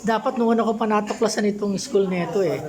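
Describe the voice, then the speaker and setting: Filipina vlogger lady chatting away, repeats herself a lot, loud crowd of people all around her. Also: mid-range voice, quick pace. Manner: chatty, repeats words, mixes Tagalog and English. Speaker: vlogger, baking student. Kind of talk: casual vlog chat, greeting people and showing around. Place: busy school rooms.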